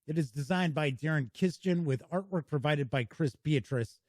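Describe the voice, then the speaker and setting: A man's voice: on the high side, normal speed, a little mellow, dry recording, studio quality. A man hosting his own board game channel, giving a casual, chatty review to camera in a folksy, welcoming way. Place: small home studio.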